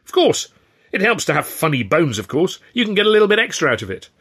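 A man's voice: on the high side, big pitch swings, quick pace, a bit bright, slightly rough, voice pushed up, full character voice. A man voicing a pompous, self-satisfied and exasperated stage comedian, declaiming and crowing in an audiobook story. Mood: smug, crowing. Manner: bellowing, declamatory, crowing, petulant.

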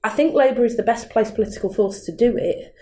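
A woman's voice: fairly high pitch, lively intonation, mellow, a little hiss or hum. A woman, speaking earnestly and at length as a politician in an interview. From Manchester, England. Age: 30s. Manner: earnest, measured, argumentative. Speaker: member of parliament.

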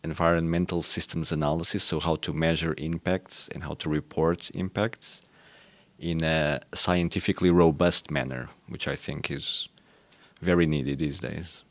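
A man's voice: a bass voice, fairly even intonation, moderate pace, dry recording. A man, an associate professor, explaining his work in a precise, academic manner as a podcast guest.

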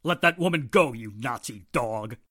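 An actor playing a gruff, heroic police inspector, commanding and urgent in 1940s radio drama style. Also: male voice, mid-range voice, lively intonation, normal speed, heavy background noise, close to an everyday voice. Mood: forceful.

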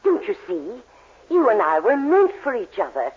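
An actress playing a gushing, romantically determined spinster in a 1940s radio comedy, with a dramatic manner. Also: female voice, medium pitch, huge pitch swings, normal speed, slightly rough. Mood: smitten. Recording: muffled, hissy vintage radio recording.

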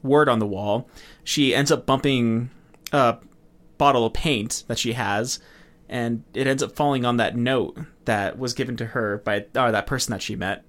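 Male podcast co-host, average pitch, informal conversational recap delivery. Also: normal speed, fairly bright tone. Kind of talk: casual film recap chat.

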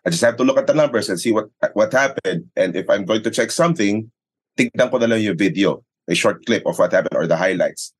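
A man's voice: on the low side, expressive, fast, slightly rough. A Filipino-accented man, a sports radio commentator, explaining things conversationally in an interview, mixing English and Tagalog and checking in with tag questions. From Philippines. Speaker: sports radio play-by-play commentator and arena announcer.

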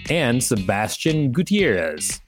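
A man with a medium-pitched voice hosts a podcast. He reads reference text aloud and drops in casual commentary. Faint music plays underneath. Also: very animated, slow pace. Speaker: podcast host. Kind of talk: reading an encyclopedia article aloud with casual commentary.

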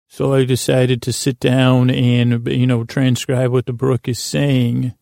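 Creaky, mellow man rambling to lull listeners. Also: mid-range voice, flat delivery, no echo, clean studio sound. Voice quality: creaky, soothing. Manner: halting, tangent-prone, circling back on himself. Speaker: podcast host. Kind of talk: rambling, meandering bedtime monologue meant to lull listeners to sleep.

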